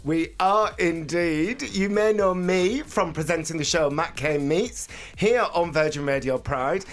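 A man from Bolton in England in his forties, co-hosting a casual radio chat show, animated and teasing, laughing often. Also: fairly high pitch, a little slow. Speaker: co-host.

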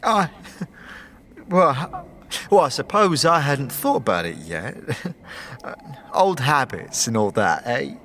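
A man playing a nervous, evasive city lowlife in a fantasy audio drama, stammering and rambling through his words. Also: sing-song, takes it slowly, long pauses, fairly bright tone, slight background noise. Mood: flustered, resigned.

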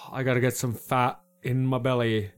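A man's voice: mid-range voice, flat delivery, measured pace, clean tone, voice pushed down, lightly acted. A man playing a drunken, dramatic knight whose grand, pompous phrasing keeps slipping into casual slang.

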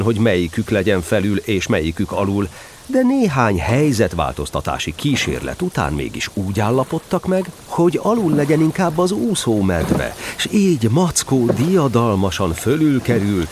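A male narrator with a fairly low voice tells a children's story. His intonation is very lively, swinging up and down as he reads the scripted tale expressively. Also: a little hiss or hum.

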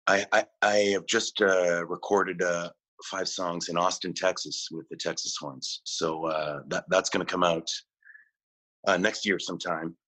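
A male musician answering interview questions, halting often with fillers and restarts. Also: sits low, fairly even intonation, pushed and bright. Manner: hesitant, many fillers and restarts. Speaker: musician guest.

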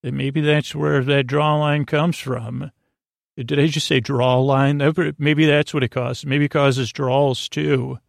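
A man hosting a sleep podcast with a goofy, rambling bedtime monologue, haltingly trailing off and doubling back. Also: medium pitch, level tone, creaky, no echo, studio quality. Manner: whimsical, goofy.

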